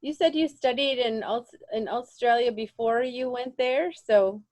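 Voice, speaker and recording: A woman's voice: a little high, measured pace, darkish tone, no echo. A woman hosting an interview over a remote call, effusive and affirming, with many fillers. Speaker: podcast host. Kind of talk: interview conversation.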